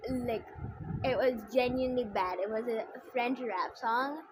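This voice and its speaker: A woman's voice: a little high, measured pace, background noise. A woman chatting casually on a game livestream in a blunt, opinionated, slangy way. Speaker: streamer playing a game. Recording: music playing behind the voice. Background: game music.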